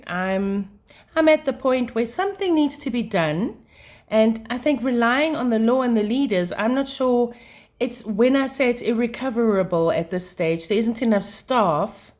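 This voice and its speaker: A South African woman venting about her drive, with frequent throat clearing as she gets over a sinus attack. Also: on the high side, a little mellow. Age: adult mother of a schoolchild. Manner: frustrated, passionate, many fillers. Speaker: vlogger. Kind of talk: casual to-camera rant.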